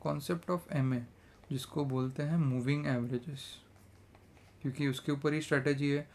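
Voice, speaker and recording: A young man with a sore throat teaching a trading lesson, chatty and energetic, mixing English phrases into his talk. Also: mid-range voice, unhurried. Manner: energetic, mixes English phrases, repeats "theek hai". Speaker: trading educator. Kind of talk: casual trading tutorial in Hindi-English mix.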